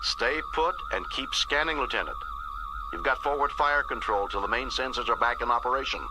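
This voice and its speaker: A man's voice: mid-range voice, brisk, pitched above the performer's own voice, low-quality recording. A man voicing a stern, urgent starship captain over a communicator radio in an audiobook. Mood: urgent, commanding. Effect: radio communicator filter. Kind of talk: dramatised audiobook dialogue. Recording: radio-filtered voice under loud music.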